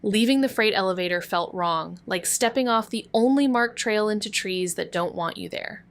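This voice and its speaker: A woman playing a moody, wry young noir narrator with a terse, deadpan delivery in an audio drama. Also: medium pitch, normal speed, no room sound, lightly acted. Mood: brooding. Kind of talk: scripted noir narration and dialogue.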